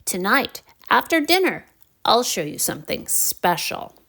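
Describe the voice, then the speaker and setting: Kindly storybook grandma, elderly, unhurried, knowing, lilting; acted by a woman. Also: fairly high pitch, sing-song, creaky, bright, forceful tone, heavily acted.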